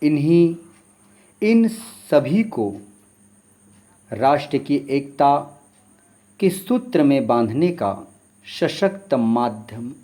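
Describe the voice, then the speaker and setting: A man's voice: medium pitch, very animated, slow, pauses often, dark tone. A man, a folk singer and poet from Chhattisgarh, giving an earnest, formal and reverent spoken introduction before a song. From Chhattisgarh, India.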